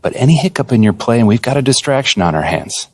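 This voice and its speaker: A man playing an earnest, data-minded basketball executive in a video game, speaking in a measured corporate way. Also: medium pitch, big pitch swings, dry recording. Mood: serious. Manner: measured, businesslike, corporate jargon. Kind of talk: conversational video game cutscene acting.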